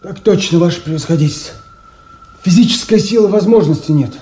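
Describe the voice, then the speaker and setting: A frightened, strained subordinate. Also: male voice, high voice, a little fast, warm tone, breathy edge. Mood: anxious. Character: frightened male subordinate officer, nervous. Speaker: actor in an audio play. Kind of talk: 1970s stage play audio recording.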